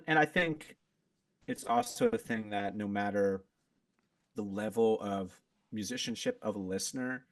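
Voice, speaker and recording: Podcast guest: man, lowish voice, loose rambling chat, dry sound a bit off-mic. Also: a little slow, no echo, far from the mic. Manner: relaxed, rambling, lots of "like" and restarts. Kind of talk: casual chat.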